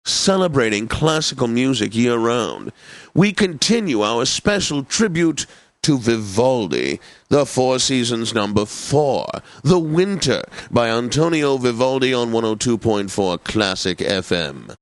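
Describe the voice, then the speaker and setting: An actor playing a pompous, genteel classical radio DJ in a game, announcing with theatrical, drawn-out phrasing. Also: male voice, medium pitch, big pitch swings, no room sound. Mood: grand, savoring.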